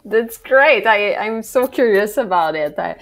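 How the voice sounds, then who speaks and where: A woman in her thirties with a French accent hosts an interview over a video call, speaking through a wired earphone mic. Her first language is French, and she phrases her English in a friendly, hesitant way. Her voice sits at a middle pitch. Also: expressive, measured pace, fairly bright tone. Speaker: podcast host.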